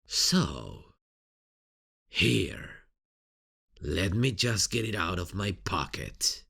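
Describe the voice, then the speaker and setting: A sadistic villain for an audio roleplay, very slow and husky. The pitch swoops playfully as the killer mocks and teases, savoring every threat. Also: male voice, big pitch swings, sharp tone, exaggerated voice. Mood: playful menace. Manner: mocking, teasing, drawn-out delivery with sinister chuckles. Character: sadistic, playful serial killer taunting a detective. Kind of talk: ASMR roleplay acting.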